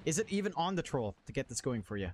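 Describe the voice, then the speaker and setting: A man's voice: medium pitch, big pitch swings, fast talker, darkish tone. A man working as an esports caster, mixing live game commentary with casual chat. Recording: clearly noisy stream audio.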